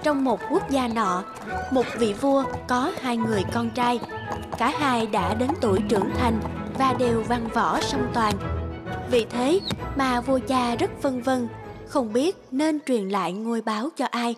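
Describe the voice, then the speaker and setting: A woman giving scripted narration for an animated fairy tale. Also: fairly high pitch, measured pace. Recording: loud music under the voice.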